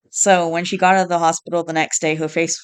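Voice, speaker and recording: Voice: medium pitch, smooth. Congested, nasal host rambling between readings. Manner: rambling, with sighs and self-corrections. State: congested, stuffed-up nose. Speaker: channel host reading online posts. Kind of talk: casual reading aloud with rambling commentary.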